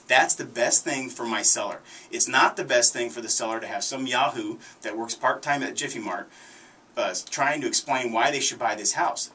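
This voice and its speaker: A man's voice: medium pitch, bright, forceful tone, breathy edge. An American man hosting a radio show, talking off the cuff in a plain-spoken, opinionated, folksy way about his trade like a sales agent. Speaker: radio show host, real estate agent. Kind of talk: unscripted radio talk, anecdotes and sales pitch. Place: indoors, radio show setting. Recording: noisy, distant-sounding radio show capture.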